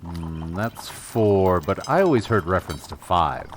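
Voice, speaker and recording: A man's voice: low voice, expressive, measured pace. A man playing an earnest, teasing young adventurer in an audio drama. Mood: puzzled, earnest. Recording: noisy ambience, animal sounds behind.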